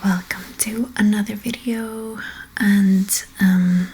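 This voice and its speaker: A woman speaking in a soft, breathy whisper as an ASMR host, gently and hesitantly guiding listeners to relax. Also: mid-range voice, steady tone, takes it slowly, slight background noise. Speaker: ASMR host, energy healer.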